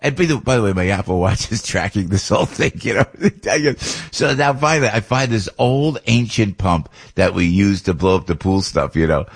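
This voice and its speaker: A man's voice: lowish voice, moderate pace, slightly rough. An older man hosting a radio talk show, rambling and chatty, laughing often and restarting his sentences.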